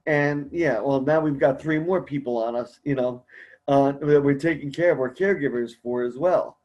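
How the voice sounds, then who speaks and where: A man's voice: medium pitch, normal speed, darkish tone, lo-fi sound. Encouraging man hosting a support call. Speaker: support group host. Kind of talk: casual conference-call conversation.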